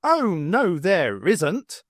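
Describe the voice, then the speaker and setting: A reader voicing a booming, boastful male genie who bellows stubbornly and will not concede. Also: huge pitch swings, extremely slow, dry recording, clean studio sound, exaggerated voice. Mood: indignant.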